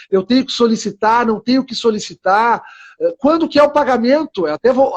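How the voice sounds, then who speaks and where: A man's voice: high-pitched, dull audio. A middle-aged man hosting a live-stream interview, eager and rambling, often restarting his sentences. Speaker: host lawyer.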